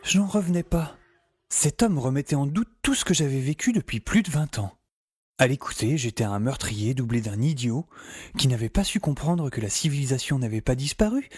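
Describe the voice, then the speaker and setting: An actor playing a flippant professor and explorer in an audio drama, wry and informal in narration and dialogue. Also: male voice, mid-range voice, darkish tone, slightly rough, no room sound. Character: flippant, hesitant male professor and explorer narrating his adventure.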